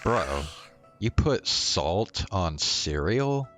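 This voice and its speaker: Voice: low voice, very animated, drawn-out words, a bit bright. A game streamer chatting casually over gameplay in a slangy, laid-back way, with game music behind. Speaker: live streamer.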